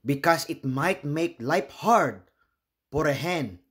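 A man reads a children's story aloud as its host. His voice sits a little high and moves with very animated intonation. He takes his time, delivering each phrase slowly and expressively. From Philippines.

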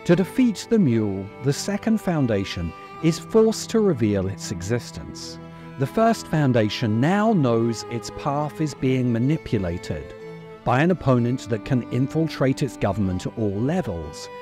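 A man in his forties narrating a scripted video essay in a deliberate, emphatic way, close to the microphone. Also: mid-range voice, dark tone. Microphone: close desk microphone. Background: music under the voice.

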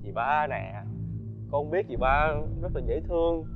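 Humble young villager, muffled recording. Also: male voice, a little high, lively intonation, a little slow. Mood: coaxing, deferential. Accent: Southern Vietnamese. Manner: deferential, pleading. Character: humble, coaxing poor villager, devoted older brother. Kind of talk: folk-tale TV drama acting. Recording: dull sound with background music.

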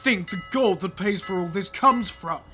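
A woman playing a gruff, hot-tempered dwarf with a blunt, sarcastic way of talking in an audio drama. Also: medium pitch, moderate pace, a bit bright, faint music behind, natural voice. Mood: angry.

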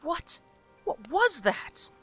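Strong-willed young sea sister, female actor, fantasy audio drama, expressive, faint music behind. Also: high voice, very slow, full character voice. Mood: astonished.

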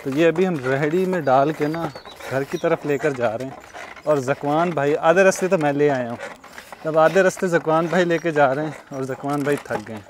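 A man's voice: fairly high pitch, moderate pace, slight background noise. A young man from Pakistan narrating a casual vlog outdoors on farmland and a building site, laughing often between sentences, recorded on a lapel mic. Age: young adult. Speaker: vlogger. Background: faint outdoor ambience.

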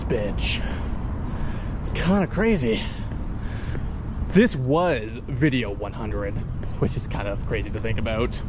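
A man in his thirties from Canada filming a casual vlog outdoors, talking chattily, cursing often and mocking himself. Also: mid-range voice. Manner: chatty, swears a lot, self-mocking. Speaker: urban explorer vlogger. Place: outdoors by a busy road, windy and rainy. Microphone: handheld camera at arm's length. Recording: loud outdoor noise with traffic.

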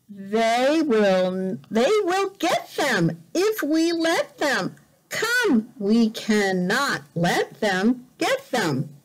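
Casting: middle-aged female teacher for a children's read-aloud, high-pitched, extremely unhurried, repeats words for learners. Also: rough recording. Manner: animated, deliberate, repeats words simply for learners. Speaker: volunteer teacher reading a picture book to young learners. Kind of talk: slow, expressive children's read-aloud with simple explanations. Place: indoor room at home.

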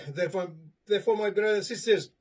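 Husky high-voiced man preaching a sermon. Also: fairly quick. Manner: earnest, repetitive, with many restarts, gesturing while reading from papers. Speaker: imam preaching a Friday sermon. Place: indoor study lined with bookshelves. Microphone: camera in front at a few steps.

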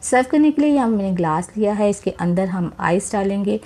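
A woman's voice: mid-range voice, expressive, brisk. Woman, cooking show host, recipe voice-over, chatty, addresses viewers directly. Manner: warm, chatty, repeats religious blessings while cooking. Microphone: voice-over, speaker not seen.

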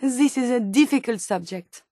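An actress voicing a gentle, earnest female robot scientist turned human in a video game, with a French accent and formal, precise phrasing. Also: fairly high pitch, lively intonation, moderate pace, no echo, clean studio sound. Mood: pleading, earnest. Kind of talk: video game character dialogue.

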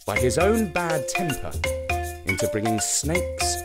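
Male storyteller for children's tales, theatrical delivery, hugely varied pitch, unhurried pace. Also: loud music behind the voice. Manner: theatrical, expressive. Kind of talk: scripted children's story narration.

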